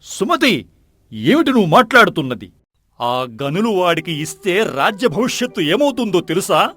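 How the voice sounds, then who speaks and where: Man playing high-pitched, hot-tempered king. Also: big pitch swings, normal speed, a bit bright, heavily acted. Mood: indignant. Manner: commanding, indignant. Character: proud, hot-tempered king, protective of his people. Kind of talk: audio folk-tale drama.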